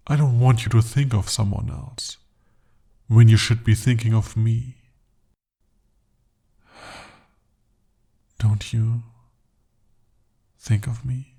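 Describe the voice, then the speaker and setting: A young man voicing a jealous, possessive male demon bound to a sorcerer, speaking softly and deliberately in an ASMR roleplay. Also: mid-range voice. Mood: jealous, coaxing. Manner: intimate, soft-spoken, slow and deliberate.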